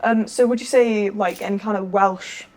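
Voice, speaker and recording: A woman's voice: mid-range voice, fairly even intonation, normal speed, darkish tone. A woman interviewing in a casual, informal and slightly hesitant way. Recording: ambient room noise behind.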